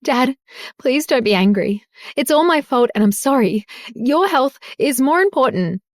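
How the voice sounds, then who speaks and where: A woman voicing a heartbroken young woman pleading with her father in a high, tearful voice. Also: lively intonation, unhurried, dry recording, clean studio sound.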